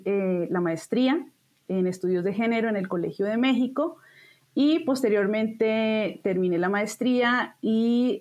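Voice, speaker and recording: A woman's voice: medium pitch, darkish tone. Hesitant woman academic. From Colombia. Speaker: academic historian, interview guest. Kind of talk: interview self-introduction.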